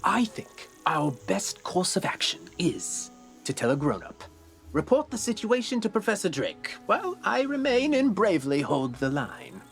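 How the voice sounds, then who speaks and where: A woman's voice: medium pitch, sing-song, moderate pace, soft background music. A woman voicing a bossy, self-important young wizard student in a video game, with a haughty, theatrical delivery. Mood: flustered, pompous. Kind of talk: scripted video game character acting.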